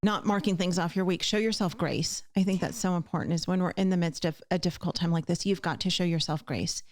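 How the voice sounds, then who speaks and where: A woman's voice: on the low side, steady tone, fast. A woman hosting a conversational show, speaking in an earnest, emphatic way as she encourages her listeners directly. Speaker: podcast host.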